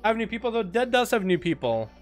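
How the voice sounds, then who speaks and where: A man's voice: high-pitched, fast, faint music behind. A man with an American accent, a Russian speaker, hosting a livestream of game duels and chatting with viewers. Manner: excitable play-by-play, shouts at big moments. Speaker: streamer casting game duels. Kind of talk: livestream commentary and chat.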